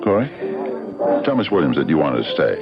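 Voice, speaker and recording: Menacing, very deep, gravelly bully. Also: male voice, big pitch swings, brisk, loud music behind the voice, heavily acted. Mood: oily taunting. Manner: taunting, oily politeness. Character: menacing, mockingly polite man who bullies his friend. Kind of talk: old-time radio drama acting. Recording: muffled vintage radio recording.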